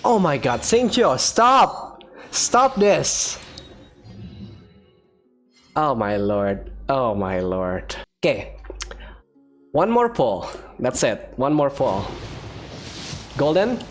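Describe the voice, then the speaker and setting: A male gamer gives casual commentary on his play in an expressive way, often saying things twice. His pitch moves around a lot, and faint music plays behind him. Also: very slow, pauses often. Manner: expressive, repeats phrases. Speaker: gaming video creator.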